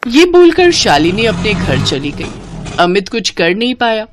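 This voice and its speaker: A woman's voice: medium pitch, sing-song, measured pace, noisy background. A woman narrating a scripted children's moral story as storyteller.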